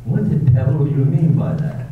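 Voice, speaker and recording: An amateur actor in a staged radio drama reading, playing a brusque, wealthy older gentleman who talks in a dry, teasing, curt way. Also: medium pitch, normal speed, phone-quality sound, noisy background, natural voice. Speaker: amateur readers theater actor. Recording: echoey hall, far from mic, phone-thin sound.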